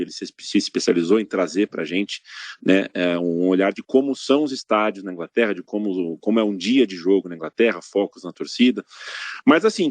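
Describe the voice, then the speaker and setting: A man with a very deep voice hosts a podcast. He builds long, meandering questions and keeps checking in with tag questions. The sound is close and dry, with no room echo. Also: darkish tone. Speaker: podcast host. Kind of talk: podcast interview.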